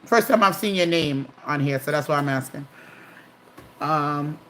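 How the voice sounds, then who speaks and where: A woman's voice: deep voice, unhurried, a bit bright. A woman casually hosting a live stream, chatting and reading viewer comments aloud.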